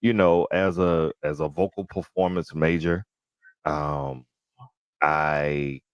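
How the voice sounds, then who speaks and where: A man hosting a podcast, speaking with careful, articulate diction and playful animated asides. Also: very deep voice, slow pace, smooth, no room sound, muffled recording. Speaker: podcast host. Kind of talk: casual interview chat.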